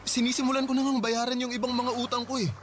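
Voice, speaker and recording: An actor playing a humble, polite young father in a radio drama, soft-spoken and respectful. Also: very high voice, little pitch movement, fast talker, soft background music. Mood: earnest.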